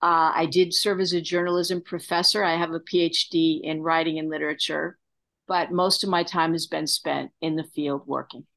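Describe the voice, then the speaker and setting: A woman's voice: deep voice, level tone, moderate pace, creaky, fairly bright tone. An older woman talking about herself in an interview over a video call. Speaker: journalist interviewee. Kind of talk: interview talk about career. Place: home office, video call. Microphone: computer on video call.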